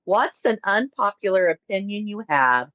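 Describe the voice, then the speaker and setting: A woman's voice: mid-range voice, very animated, normal speed, dry recording, dull audio. A woman hosting a podcast, asking questions and answering with frequent short yeahs. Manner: affirms often with short yeahs, asks questions. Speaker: podcast host. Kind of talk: casual chat.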